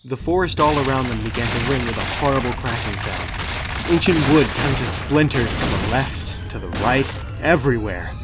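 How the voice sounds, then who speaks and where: A man's voice: mid-range voice, lively intonation, moderate pace. A man narrating an adventure audiobook with dramatic scripted delivery. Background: clear background music.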